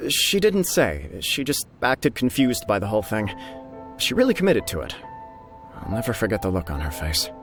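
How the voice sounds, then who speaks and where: A man's voice: on the low side, wildly swooping pitch, moderate pace. A man playing an earnest, long-winded young nobleman in love, in an anime-style video game. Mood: fondly amused. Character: earnest, smitten young nobleman about to marry. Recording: loud game music behind the voice. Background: loud background music.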